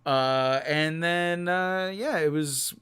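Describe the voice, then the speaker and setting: A man hosting a casual live stream from a quiet home room on a condenser mic, rambling and self-deprecating with frequent laughs. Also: a little high, slow pace, clear, resonant tone. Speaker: host of a live stream show. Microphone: USB condenser mic.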